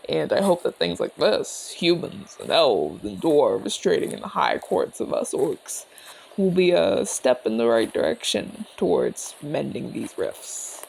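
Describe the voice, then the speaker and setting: A man performs a friendly old-soldier orc, a former general with a creaky, gravelly edge. His pitch roams widely as he chats in a measured, courteous, inquisitive way. It is intimate ASMR roleplay, addressed straight to the listener. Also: big pitch swings, a little mellow, slight background noise, exaggerated voice, low-quality recording. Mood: earnest, calm. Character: genial retired orc general, male border commander, calm, inquisitive.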